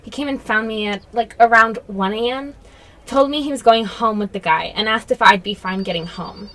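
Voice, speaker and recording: A woman plays a young female witness in a student radio drama, explaining things to police. Her voice is fairly high and very bright. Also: moderate pace, natural voice. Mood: anxious, explaining. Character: young female witness, friend of a missing man.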